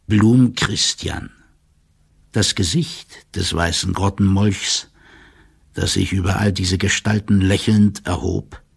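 An actor playing a stout official who reads out a stiff, drily ironic report in an audio drama, in a gravelly, husky, measured voice. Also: male voice, lowish voice, heavily acted. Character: stout male official giving a stiff, wry written report.

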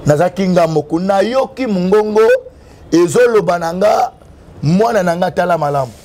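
A man's voice: high voice, big pitch swings, moderate pace, gravelly, darkish tone. A young man from Congo speaking French with a Congolese accent, a prophet who preaches fervently in an interview, mixing in Lingala and sometimes half-singing. He is recorded outdoors under cover on a lapel mic. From Congo (DRC). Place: covered outdoor terrace, tiled wall.

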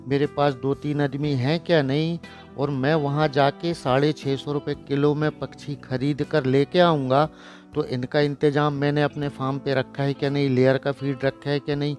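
A man hosting a poultry farming channel, narrating a voice-over with blunt, lecturing and sarcastic remarks about careless buyers. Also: a little high. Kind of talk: voice-over narration for a farm video. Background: soft background music.

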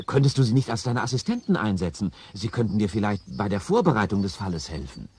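A man's voice: lowish voice, big pitch swings, fast talker, warm tone, husky, full character voice. A man playing Gordon, a worried robot owner facing a lawsuit, in a 1970s radio play. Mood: weary.

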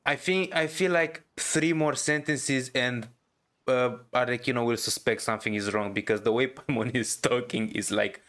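A man's voice: mid-range voice, fairly even intonation, moderate pace, fairly bright tone. A man doing casual playthrough commentary, amused, reading dialogue and thinking aloud in a hesitant way. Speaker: gamer reacting on video.

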